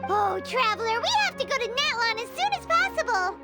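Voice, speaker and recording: A woman voicing a small, bubbly, childlike fairy companion with a squeaky, eager delivery in an anime-style video game. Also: big pitch swings, a little fast. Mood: excited. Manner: exclamatory, eager, blunt. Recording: music behind the voice. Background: game music.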